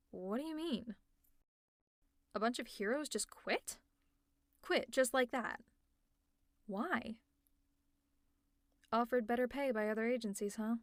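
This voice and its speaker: A woman's voice: fairly high pitch, dry recording, clean studio sound. Teasing, worried villainess, played by a woman. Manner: teasing, exasperated and concerned. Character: teasing caring female villain, young adult. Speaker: ASMR roleplay creator. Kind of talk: audio roleplay as a villain speaking to a listener.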